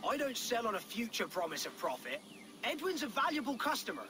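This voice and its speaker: Very high-pitched grumbling merchant. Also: male voice, very animated, fairly quick, pushed and bright, exaggerated voice. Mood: indignant. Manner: exasperated, colloquial. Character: grumbling, greedy, skittish medieval merchant man. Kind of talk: dramatic video game acting.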